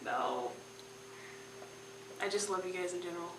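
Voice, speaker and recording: A woman's voice: lowish voice, expressive, normal speed. A teenage girl gushing emotionally in a casual interview, close to tears. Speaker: high school student actor. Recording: echoey noisy room, distant mic.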